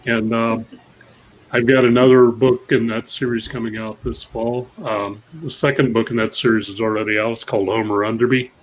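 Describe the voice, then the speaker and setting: A man talking as an author guest in a halting way, full of fillers. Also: medium pitch, level tone, slight background noise, low-quality recording. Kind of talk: casual panel chat.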